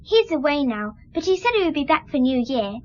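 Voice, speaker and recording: A very high, childlike voice of a trusting, chatty little girl in a radio play. She speaks candidly in simple phrases, with lively swoops, on a slightly dull recording. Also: brisk. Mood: candid, innocent. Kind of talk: radio drama acting.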